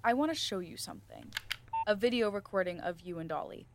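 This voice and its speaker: A woman playing a firm but gentle research scientist in an audio drama, speaking in a measured, formal interviewer's manner. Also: mid-range voice, animated, noisy background, close to an everyday voice. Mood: probing, calm. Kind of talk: student audio drama acting.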